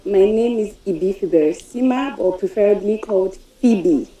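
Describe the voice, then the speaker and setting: A woman's voice: medium pitch, normal speed, reverberant, far from the mic, over loud music, low-quality recording. A woman introducing herself as a contestant, firm and emphatic. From Nigeria.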